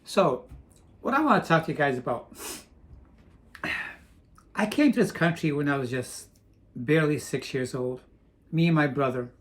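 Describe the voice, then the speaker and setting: A man's voice: on the high side, lively intonation, moderate pace, pauses often. Rambling man in a casual vlog. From Portugal. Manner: rambling, with restarts. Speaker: vlogger. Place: home in Florida.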